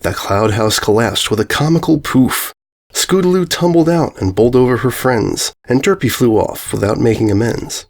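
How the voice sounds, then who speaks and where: A man narrating a rhyming children's story in a measured, singsong cadence. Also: mid-range voice. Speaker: story reader.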